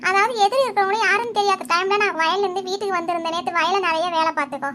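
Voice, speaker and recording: A woman plays a quarrelsome, tearful village wife in a cartoon, talking in a very high, piercing, bright voice. She rattles on quickly, complaining and accusing, and a faint hiss of noise sits under the voice. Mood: lamenting. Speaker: village housewife character. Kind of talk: Tamil cartoon voice acting.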